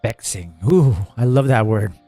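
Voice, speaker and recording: A man's voice: mid-range voice, measured pace, dark tone. A man giving casual, quippy, joking gameplay commentary as a streamer. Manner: quippy, playful. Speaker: game streamer. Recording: dry mic, faint game music behind.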